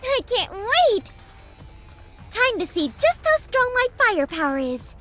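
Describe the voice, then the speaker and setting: A woman's voice: extremely high pitch, sing-song, unhurried, a bit bright, exaggerated voice. An actress playing a naive, boastful, childlike girl soldier in a video game, with a gushing, theatrical delivery. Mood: eager boasting. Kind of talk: English video game dub acting. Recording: music behind the voice.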